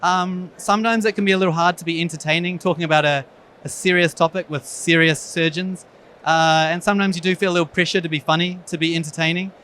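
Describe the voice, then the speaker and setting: A man's voice: on the high side, fairly even intonation, fast, sharp tone. A man with a New Zealand accent, an eye surgeon giving a frank, wry conference talk with self-deprecating humor. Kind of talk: conference presentation.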